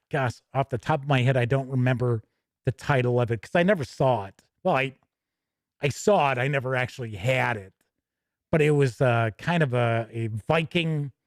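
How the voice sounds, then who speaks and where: Man, fairly high voice, dry close sound, chatty show host, casual news commentary, self-correcting asides. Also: no room sound. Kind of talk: casual news commentary mixed with reading product copy.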